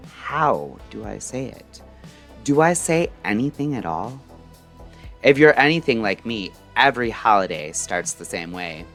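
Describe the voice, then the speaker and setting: A man delivering a scripted, introspective late-night radio monologue in measured, deliberate short phrases. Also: fairly low pitch, fairly bright tone. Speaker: narrator and host of a late-night radio style audio show. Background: music.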